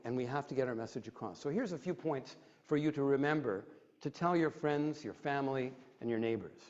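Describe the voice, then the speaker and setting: A middle-aged man from Quebec, giving a rousing prepared speech full of emphatic lists, then answering audience questions. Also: mid-range voice. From Quebec, Canada. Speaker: advocacy group president giving a speech. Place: library event room. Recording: dull lo-fi recording.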